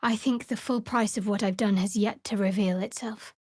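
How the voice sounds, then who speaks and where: A woman performs a young female cleric of a dark goddess for a fantasy video game. She is wry and secretive, speaking in a measured, guarded way. The sound is dry and close, with no room around it. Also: medium pitch, steady tone, no room sound, natural voice. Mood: somber, confiding.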